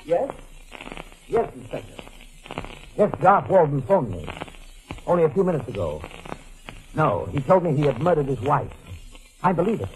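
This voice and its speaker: An actor playing a nervous, treacherous false friend, earnest and urgent in 1940s radio mystery style. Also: male voice, fairly high pitch, sing-song, normal speed, long pauses, darkish tone, rough recording. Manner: earnest, urgent, faltering under pressure.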